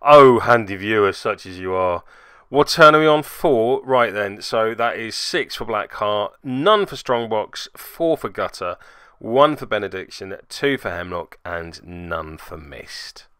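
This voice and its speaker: Male game narrator, wry and self-mocking, clear forceful delivery, dry close-miked sound. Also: on the low side, lively intonation, unhurried, dry recording. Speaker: host narrating a tabletop game battle report. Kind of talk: casual recorded commentary.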